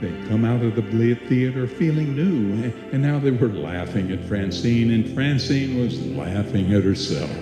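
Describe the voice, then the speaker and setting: A man's voice: medium pitch, expressive, moderate pace, hardly any pauses, lightly acted. A man narrating a scripted suspense radio play as its storyteller, performed live. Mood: measured, suspenseful. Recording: echoey hall, music behind, live stage.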